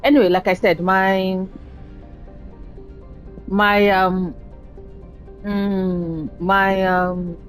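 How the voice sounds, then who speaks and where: A woman casually commentating her own game playthrough, chatty and self-deprecating, speaking low and soft because talking hurts right now. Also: flat delivery, very slow, long pauses, soft background music. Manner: chatty, self-deprecating, swears at setbacks in play. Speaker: gaming channel host. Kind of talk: casual let's-play commentary.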